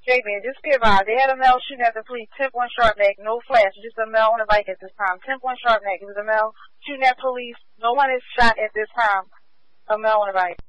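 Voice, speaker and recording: A woman police dispatcher on the radio, briskly repeating locations and warnings to units. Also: a little high, steady tone, fairly bright tone, no echo, lo-fi sound.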